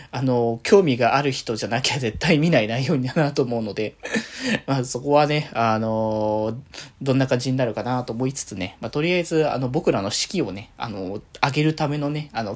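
Female talk host, very deep register, animated melody, giggly, informal and rambling delivery. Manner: often giggles while talking. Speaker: solo talk show host. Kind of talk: casual rambling solo chat to listeners.

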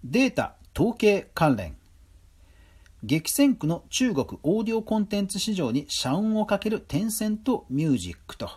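Guy doing a podcast news roundup, chatty, pitch bounces around a lot, bit husky. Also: very animated, normal speed, darkish tone. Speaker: podcast host. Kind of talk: news roundup commentary, semi-scripted.

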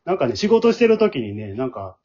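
Fast-talking, husky Kansai man. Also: medium pitch, big pitch swings, dark tone, dull audio. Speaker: amateur theatre director and podcast host. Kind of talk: casual podcast chat.

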